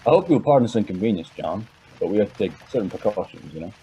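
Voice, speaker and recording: A man's voice: lowish voice, expressive, fast talker, dark tone, muffled recording, a little hiss or hum, natural voice. An actor playing a nonchalant young rich heir in an old-time radio drama, casually boastful about his family's wealth. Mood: polite, breezy. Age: young adult.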